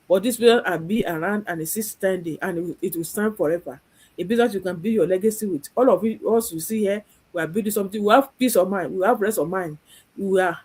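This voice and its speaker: A woman's voice: medium pitch, breathy edge. A woman from Nigeria hosting a live online stream, speaking earnestly and often repeating herself. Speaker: host.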